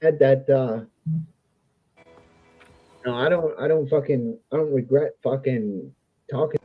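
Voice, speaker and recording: A man's voice: mid-range voice, unhurried, dry recording, faint music behind. A man talking in a rambling, argumentative way, combative, sweary and disjointed. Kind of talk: casual, rambling argumentative talk. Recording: dull, lo-fi close audio.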